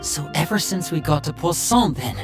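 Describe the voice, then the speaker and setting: A woman playing a confident, sharp-witted, protective older-brother teenage boy in a video game, speaking smoothly and expressively. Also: low-pitched, expressive, moderate pace. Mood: grave, confronting. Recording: music behind the voice. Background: background music.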